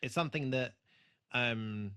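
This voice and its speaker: A man's voice: medium pitch, a little slow, pushed and bright, clear, resonant tone. A man co-hosting a casual TV re-watch discussion, talking in an analytical, hedging way. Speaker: podcast co-host.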